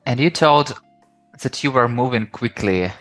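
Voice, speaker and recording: A woman's voice: extremely low pitch, a little slow, soft background music, rough recording. A woman with a Polish accent, a native Polish speaker, hosts a podcast and asks brief, friendly questions in a casual interview. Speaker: podcast host.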